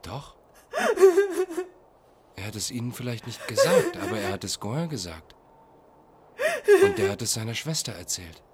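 An actor in a German radio play playing a menacing, insistent fugitive who pleads and insinuates. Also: male voice, medium pitch, huge pitch swings, normal speed, pauses often, crowd noise. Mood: agitated, accusing. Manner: insistent, brazen, formal address.

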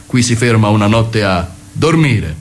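A man's voice: lowish voice, expressive, measured pace. A man narrating a scripted comic radio play in a mock-solemn storytelling manner. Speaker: narrator and performer.

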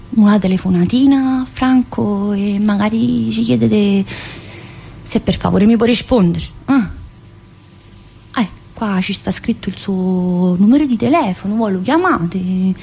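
A woman's voice: mid-range voice, gravelly, dark tone, husky, heavily acted, poor audio. An actress playing a restless, needy, brash woman prisoner in a theatrical monologue, speaking colloquial, dialect-laced Italian with a southern accent. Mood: hesitant, pleading. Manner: colloquial, dialect-laced, addressing an unseen teacher.

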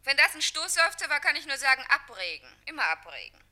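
Teasing film-studio secretary, high bright voice, flirtatious, dryly mocking, radio play. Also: female voice, very animated, fast, exaggerated voice. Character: saucy, teasing, worldly-wise Hollywood studio secretary. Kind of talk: German radio drama acting.

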